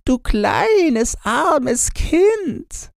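Man putting on a high-pitched, doting old woman. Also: very animated, extremely slow, gravelly, dry recording, clean studio sound, full character voice. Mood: pitying. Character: kindly, doting old woman, a harmless sorceress.

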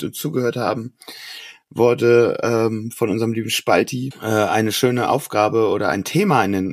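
Dry-recorded, lowish-voiced male host. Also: no room sound. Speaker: podcast host. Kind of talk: casual, improvised hosting.

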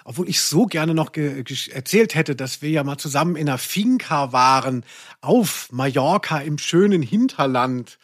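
A man's voice: a little high, expressive, moderate pace. A man hosting a podcast, chatting casually in a rambling, self-deprecating way. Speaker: podcast host. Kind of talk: casual conversational podcast chat.

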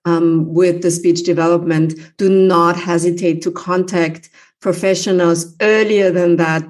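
A woman's voice: lowish voice, darkish tone. A woman hosting an interview, earnestly advising listeners, with frequent fillers. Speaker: podcast host.

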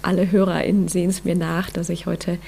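A woman hosting a podcast, reading an intro and then chatting with a guest, her voice hoarse from a cold. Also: on the low side, little pitch movement, a little fast, mellow, slight background noise. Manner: upbeat, with many fillers. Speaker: podcast host. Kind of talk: interview podcast, scripted intro then chat.

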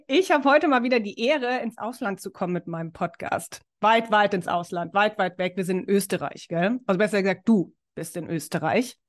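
A woman's voice: medium pitch, moderate pace, hardly any pauses. A woman hosting a podcast, talking in an upbeat, encouraging, chatty way. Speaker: podcast host. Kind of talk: casual podcast interview.

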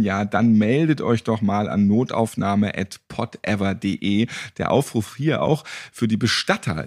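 A man hosting a casual interview podcast with a jokey, irreverent way of talking. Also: fairly low pitch. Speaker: podcast host. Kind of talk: casual joking interview and sign-off.

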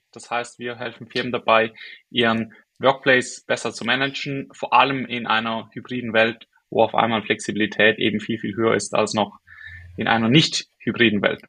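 A man with a Swiss German accent answers a host's questions, thinking aloud as he works through his answers. His voice is husky and slightly breathy. His pitch sits in the middle of the range. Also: little pitch movement, moderate pace, bright, forceful tone. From Switzerland. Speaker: guest, startup co-founder and CEO. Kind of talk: casual interview answers.

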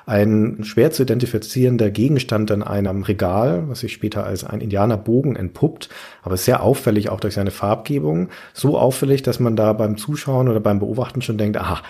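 A man's voice: on the low side, fairly quick, creaky, warm tone, slightly rough. A man hosting a podcast, discussing video games analytically and explaining in long, articulate sentences. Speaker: podcast host.

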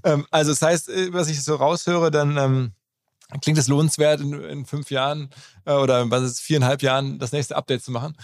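A man's voice: fairly high pitch, fairly even intonation, brisk. A man hosting a podcast in an informal way, often repeating and rephrasing as he goes. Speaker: podcast host. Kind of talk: casual interview and chat.

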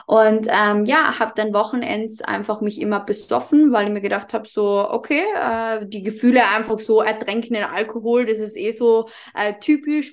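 A young woman from Carinthia with an Austrian accent, talking openly as a podcast guest with plenty of filler words. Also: medium pitch. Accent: Austrian German, Carinthian. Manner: warm, open, lots of fillers. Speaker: podcast guest, mindset coach. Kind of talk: casual podcast interview.

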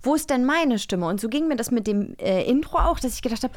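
High, animated woman in playful chat. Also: quick pace. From eastern Germany. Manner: playful, reactive. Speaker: podcast co-host. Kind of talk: casual chat.

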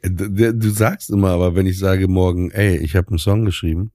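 A man with a deep voice talks as a podcast co-host. He rambles casually, stumbling and restarting as he goes. The recording is close and dry, without room sound. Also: steady tone, dark tone, no room sound. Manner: halting, stumbling, self-interrupting.

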